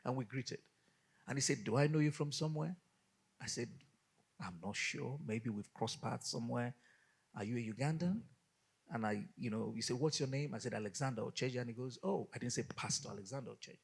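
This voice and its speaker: A man from Nigeria, a guest pastor, telling an animated story in a studio interview and acting out the dialogue. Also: medium pitch, fairly quick, gravelly, breathy edge.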